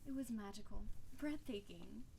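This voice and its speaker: A woman's voice: mid-range voice, animated, moderate pace, gravelly, dark tone, a little hiss or hum, poor audio. A woman playing a doting, needy, possessive snow-woman spirit wife in an intimate audio roleplay, speaking tenderly and intensely. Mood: fond, nostalgic.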